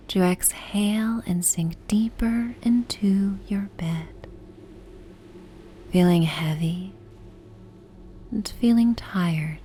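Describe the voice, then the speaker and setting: Slow, soft, breathy woman guiding sleep. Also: on the low side. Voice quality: soft, breathy, half-whispered. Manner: gentle, soothing, unhurried. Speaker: sleep meditation guide and narrator. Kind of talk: soothing guided sleep meditation, ASMR bedtime story. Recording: steady ocean and wind ambience noise. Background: ocean and wind ambience.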